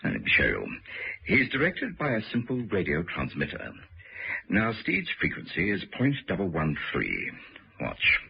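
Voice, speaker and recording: An actor playing a sinister older scientist, coldly proud and menacing, in a vintage radio drama with a gravelly, husky voice. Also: male voice, deep voice, huge pitch swings, unhurried, slight background noise, heavily acted. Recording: muffled old radio broadcast recording.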